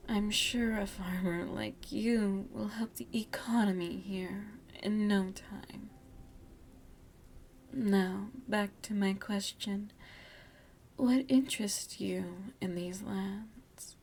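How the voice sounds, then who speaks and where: A woman playing an elegant, seductive, motherly vampire lady of the manor in a softly half-whispered ASMR roleplay, genteel and coaxing. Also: medium pitch, steady tone, slow pace, vocal fry, slight background noise. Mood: soothing, genteel. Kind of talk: ASMR roleplay, soft breathy speech.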